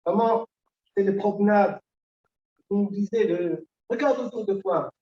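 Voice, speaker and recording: Grieving man, halting eulogy in echoey hall. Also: high voice, mellow, slight background noise. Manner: halting, with repeated words and long pauses. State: grieving, emotionally moved. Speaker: son giving a eulogy. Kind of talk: funeral eulogy. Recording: echoey hall, distant dull mic.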